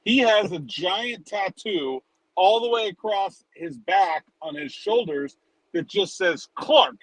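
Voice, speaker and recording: A man's voice: high-pitched, lively intonation, slow, fairly bright tone, no echo, low-quality recording. A man chatting casually as a sports reporter and podcast co-host, wandering into conversational asides.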